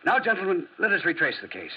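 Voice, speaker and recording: A man's voice: high voice, sing-song, fast, husky, heavily acted. A man playing a self-assured, courteous amateur detective in a 1940s radio drama, speaking in precise, formal, theatrical oratory. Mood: confident, courteous. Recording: muffled vintage radio recording.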